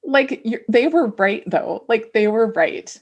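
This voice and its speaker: A woman's voice: on the high side, expressive, a little slow, highs cut off. A middle-aged American woman co-hosting a podcast, chatting casually and playfully, with plenty of "like" in her speech. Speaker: podcast co-host. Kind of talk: casual, reactive chat.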